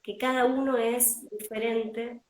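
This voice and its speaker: A woman host with an Argentine accent, curious and probing, asking quick follow-up questions in a conversational interview. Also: a little high, flat delivery, reverberant. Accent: Argentine Spanish.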